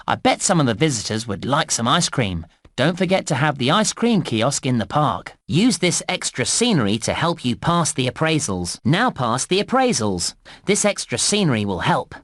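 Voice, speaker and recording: A man's voice: medium pitch, sing-song, normal speed, a bit bright, dry recording, studio quality. A man voicing a chirpy, encouraging theme park guide in a children's computer game, with bouncy, exaggerated scripted delivery. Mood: cheerful, encouraging. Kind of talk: scripted game tutorial narration.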